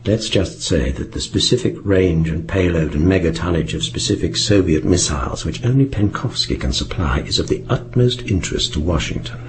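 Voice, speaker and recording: An actor playing a commanding, dryly persuasive British intelligence chief in a radio drama, with a British accent and a clipped, authoritative, disdainful manner. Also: male voice, sits low, animated, normal speed, hardly any pauses, a little hiss or hum.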